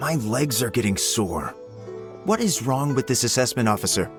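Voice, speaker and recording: A man's voice: medium pitch, animated, normal speed, close to an everyday voice. A man voicing a haughty, grumbling wealthy entrepreneur, over game music. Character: wealthy, complaining male entrepreneur.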